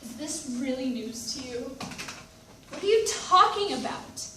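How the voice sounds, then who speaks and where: Agitated stage character with a split personality, played by a woman, high-pitched, recorded distantly in an echoey room. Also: expressive, measured pace. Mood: agitated, demanding. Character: agitated split-personality narrator confronting an alter ego. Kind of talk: readers theatre stage acting. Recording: echoey room, distant mic, background noise.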